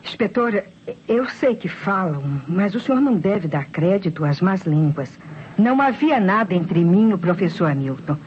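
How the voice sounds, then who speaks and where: An actress playing a genteel, defensive wife, formal and hesitant, in old radio drama style. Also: female voice, medium pitch, expressive, moderate pace, close to an everyday voice. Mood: defensive, pleading. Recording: muffled, faintly noisy old radio recording.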